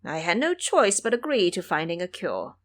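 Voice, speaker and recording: A woman's voice: fairly low pitch, sing-song, normal speed, fairly bright tone. A woman voicing a cheeky, brash male monkey immortal in an audio drama. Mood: pleading.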